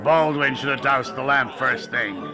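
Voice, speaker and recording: An actor playing a menacing, commanding gang boss with a gravelly voice in an audio drama. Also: male voice, medium pitch, unhurried, lightly acted. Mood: stern. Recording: prominent music behind the voice.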